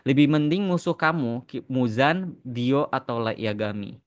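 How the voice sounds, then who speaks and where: A man's voice: mid-range voice, unhurried, no room sound. An Indonesian man hosting a casual podcast chat, dropping English phrases into his speech. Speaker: podcast host and content creator.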